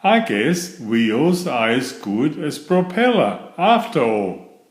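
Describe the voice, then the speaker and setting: A man's voice: medium pitch, big pitch swings, takes it slowly. Man as a proud storybook helicopter, echoey room. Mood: gracious. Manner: haughty. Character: proud, boastful male helicopter. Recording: roomy, echoing voice recording.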